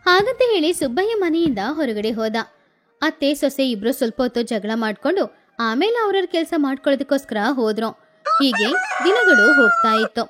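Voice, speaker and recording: High-voiced woman narrating a cartoon story. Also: big pitch swings, fairly quick, fairly bright tone, slight background noise.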